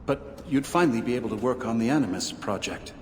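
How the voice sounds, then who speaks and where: An actor playing a calm, dry, persuasive leader of secret assassins in a video game scene. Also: male voice, mid-range voice, quick pace, close to an everyday voice. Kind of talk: video game cutscene acting. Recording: reverberant, faint ambient noise.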